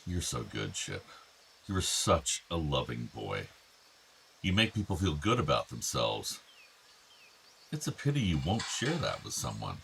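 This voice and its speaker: An actor playing a gentle, affectionate older father with regrets, in a naturalistic radio drama. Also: extremely low pitch, huge pitch swings, measured pace, vocal fry, pauses often, slight background noise, heavily acted. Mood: regretful, tender. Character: doting, regretful older father of two grown sons.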